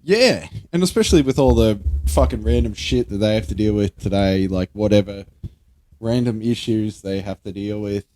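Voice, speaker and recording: A man's voice: lowish voice, moderate pace, mellow, slight background noise. A young Australian man co-hosting a podcast, bantering casually and bluntly with plenty of swearing and slang. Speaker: podcast co-host.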